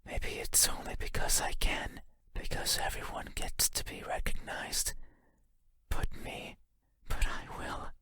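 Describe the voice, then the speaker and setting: A man voicing a lonely, yearning monster for a horror game, in a breathy whisper with a halting, intimate manner. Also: pushed and bright, no room sound. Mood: wistful, hesitant. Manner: halting, intimate, musing, formal phrasing. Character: lonely wordless monster lurking in the dark, yearning, eerie. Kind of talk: video game horror monologue.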